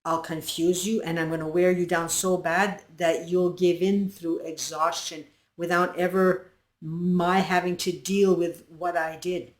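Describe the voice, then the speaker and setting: A congested woman speaking as a cold, manipulative, self-serving partner, in a lowered, quiet voice. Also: little pitch movement, measured pace, echoey room, close to an everyday voice. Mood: cold scheming. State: congested with flu.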